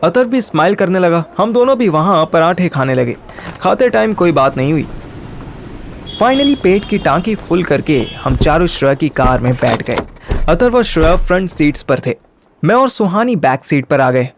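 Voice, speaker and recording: A man's voice: fairly high pitch, big pitch swings, moderate pace, slight background noise. A man narrating a first-person story and acting its dialogue in colloquial Hindi mixed with English. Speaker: narrator and lead character of an audio story.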